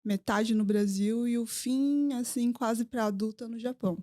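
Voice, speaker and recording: A woman's voice: fairly high pitch, fairly even intonation, a little slow, dark tone, dry recording, clean studio sound. A woman who grew up between Brazil and Japan, a podcast guest telling stories in a hesitant, laughing way. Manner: storytelling, hesitant, laughs often. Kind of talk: casual conversational interview.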